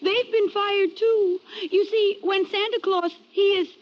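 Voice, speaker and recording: A woman's voice: extremely high pitch, fairly even intonation. A woman voicing a tearful, meek female reindeer in a plaintive 1940s radio comedy style. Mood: woeful, meek. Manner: slow, plaintive delivery. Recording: muffled old radio recording, faint music.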